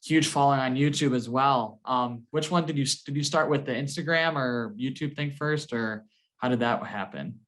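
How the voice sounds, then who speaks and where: Man, interview host, casual, friendly, lots of fillers, middle pitch. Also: moderate pace, a bit bright. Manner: loose and friendly, heavy on fillers like "you know".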